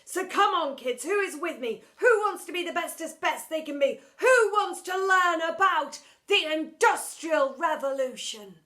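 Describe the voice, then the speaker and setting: A fussy, put-upon male schoolteacher who speechifies louder and louder, as a woman reads him in a storybook. The voice sits high and bright, pushing each line with eager emphasis. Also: expressive, measured pace. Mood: earnest. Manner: loud, rising, emphatic speechifying.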